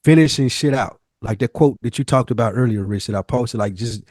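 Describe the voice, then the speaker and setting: A man's voice: mid-range voice, a little fast, a little mellow, dry recording, clean studio sound. An American man talking candidly in a casual podcast conversation, using informal slang and emphatic phrasing. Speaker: podcast host.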